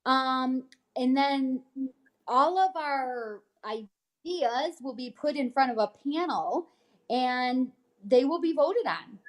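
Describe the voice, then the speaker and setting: A woman's voice: sits high, lively intonation, slow. An American woman reading a newsletter aloud on a casual livestream, chatting informally and often tagging on little check-ins. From United States. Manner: informal, frequent check-in tags like "okay?". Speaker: host, real estate agent reading a newsletter.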